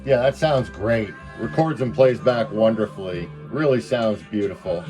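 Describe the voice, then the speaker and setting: A man's voice: fairly low pitch, moderate pace. Casual male demonstrator, music in background. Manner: explains step by step, thinking aloud. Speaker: product demonstrator.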